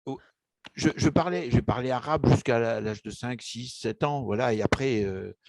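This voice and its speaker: A man's voice: mid-range voice, moderate pace, creaky, background noise. An older man from Egypt telling his memories in an interview, hesitant, with many restarts and fillers. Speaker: author interviewed about his book. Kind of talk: casual interview, personal memories.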